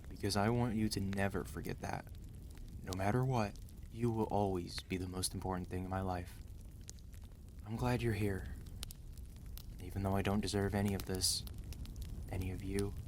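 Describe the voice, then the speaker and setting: A man acts out a protective mafia boss husband in an intimate audio roleplay. His voice is low, and he speaks in a tender, confiding way, weary but gentle. There is noticeable noise behind him. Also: lightly acted. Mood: tender, remorseful. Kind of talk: intimate ASMR roleplay acting.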